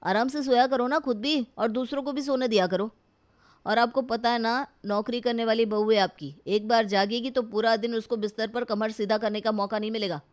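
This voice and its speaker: A woman's voice: fairly high pitch, steady tone, a little fast, lightly acted. A woman voicing a frustrated young husband caught between mother and wife in a cartoon family story, exasperated and reasoning. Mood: annoyed pleading. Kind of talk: Hindi animated family story acting.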